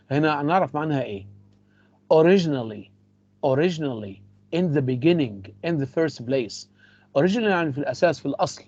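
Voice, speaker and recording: A man's voice: on the high side, a little mellow, dry recording, lo-fi sound. A man with an Egyptian accent teaching an English class, repeating phrases and mixing in Arabic. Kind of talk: classroom lecture.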